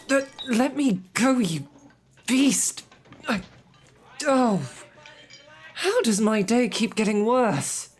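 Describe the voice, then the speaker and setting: A woman playing a snarky, defiant captive knight loyal to her princess, speaking in formal, chivalrous phrases with dry sarcasm in an audio drama. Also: mid-range voice. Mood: startled, panicky. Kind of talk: scripted audio roleplay drama.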